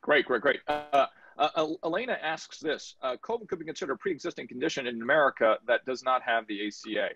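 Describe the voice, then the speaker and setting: A middle-aged man moderating a video panel from a home office, brisk and urging speakers to keep it quick. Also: mid-range voice, expressive, a bit bright, husky. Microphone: video call. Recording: dull lo-fi video call audio.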